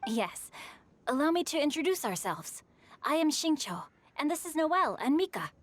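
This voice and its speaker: A woman's voice: high-pitched, very animated, moderate pace, a little hiss or hum. A woman voicing a refined, bookish and mischievous young boy poet in a video game, speaking eloquently and with composure. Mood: poised, eloquent. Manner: eloquent, composed, literary phrasing.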